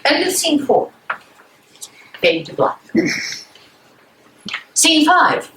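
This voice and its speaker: A woman's voice: high voice, sing-song, drawn-out words, noisy background. A woman narrator reading scripted stage directions at a dramatic reading.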